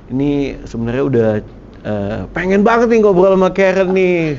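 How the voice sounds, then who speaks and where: A man's voice: sits high, lively intonation, normal speed, a little mellow, muffled recording. A man hosting a podcast in a casual interview style, chatty and often chiming in with agreement. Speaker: podcast host. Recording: clearly noisy background.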